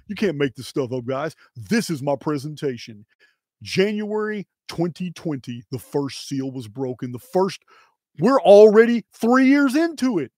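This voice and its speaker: Middle-aged American man, slightly high voice, slow dramatic commentary, emphatic phrasing, dry close-mic sound. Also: darkish tone, no echo. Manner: emphatic, deliberate, pausing between short phrases. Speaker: video host and presenter. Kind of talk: solo presentation to camera, dramatic commentary. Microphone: close microphone on boom arm.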